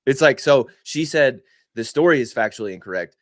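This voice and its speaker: A man's voice: medium pitch, lively intonation, fairly quick, dry recording, clean studio sound. A married man co-hosting a podcast, wry and teasing in casual chat, keen on a good argument. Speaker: podcast co-host.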